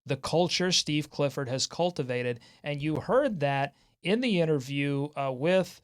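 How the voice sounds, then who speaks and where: A man hosting a live sports talk show in a casual way, often halting as he searches for words. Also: a little high, clear, resonant tone. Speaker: sports podcast host.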